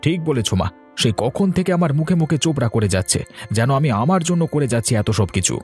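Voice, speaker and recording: A man's voice: mid-range voice, big pitch swings, quick pace, a little mellow, soft background music, close to an everyday voice. Man voicing a stubborn, grumbling miserly husband. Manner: defensive, complaining. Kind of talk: animated cartoon story dialogue.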